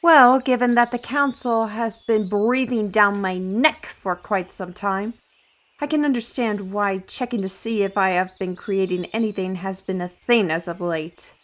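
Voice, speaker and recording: A woman playing a weary, irritable, paranoid artist with magical abilities in a fantasy audio drama, grumbling and halting. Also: medium pitch, lightly acted. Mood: irritated, bitter.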